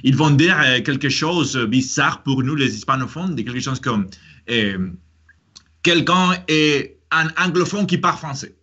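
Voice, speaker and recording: A man's voice: mid-range voice, animated, unhurried, bright, forceful tone, breathy edge, highs cut off. A man whose first language is Spanish, speaking French with a Spanish accent, hosting a casual chat and often hesitating and restarting. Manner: hesitant, many fillers and restarts. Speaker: host.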